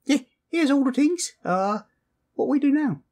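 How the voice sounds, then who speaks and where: A man voicing an eager, slangy underling who runs errands, with a squeaky, husky voice, in an improvised fantasy roleplay. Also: expressive, measured pace, darkish tone, full character voice. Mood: eager, obliging. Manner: slangy, blunt, deferential. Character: eager, scrappy underling errand-runner named Garbo.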